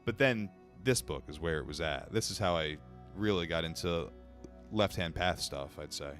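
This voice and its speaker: A young man with a lowish voice reads a spiritual book aloud for a live audience, tripping over the odd word. Between passages he talks with chat in a loose, blunt, profane way. Faint music plays behind him. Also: lively intonation, moderate pace. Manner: casual and blunt, swears, breaks off reading to answer chat; stumbles over words while reading. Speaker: livestream book reader. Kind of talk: reading a book aloud on a livestream, chatting with viewers.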